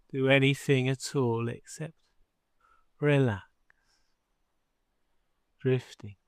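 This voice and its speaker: A man guiding a sleep hypnosis session in a soothing, measured manner with long pauses between phrases. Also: mid-range voice, smooth, dry recording. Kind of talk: guided sleep hypnosis narration.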